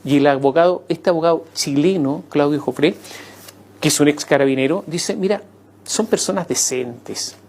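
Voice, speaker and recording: A man answering in an interview, conversational and animated, acting out quoted voices as he recounts events. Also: on the high side, normal speed.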